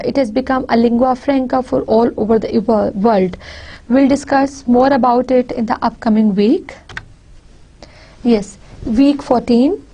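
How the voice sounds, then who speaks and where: A woman from Pakistan with a Pakistani accent, a native Punjabi speaker, giving a university lecture deliberately, often repeating and restarting phrases. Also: fairly high pitch, darkish tone, breathy edge. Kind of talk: recorded lecture.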